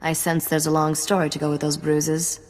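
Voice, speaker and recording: An actress playing a businesslike, sardonic smuggler's partner in a video game, dry and teasing. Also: female voice, low-pitched, completely flat delivery, moderate pace, natural voice. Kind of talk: video game cutscene acting. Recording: long hall reverb.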